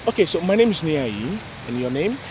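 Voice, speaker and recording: A man hosts a casual interview. His pitch swings widely as he talks, and there is clear noise behind him. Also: big pitch swings, fairly quick, a little mellow. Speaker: interviewer, host. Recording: clearly noisy outdoor-like background.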